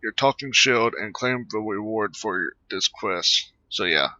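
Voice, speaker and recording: A man giving casual, rambling gameplay commentary with halting delivery. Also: on the low side, pushed and bright, dull audio. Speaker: gaming video creator.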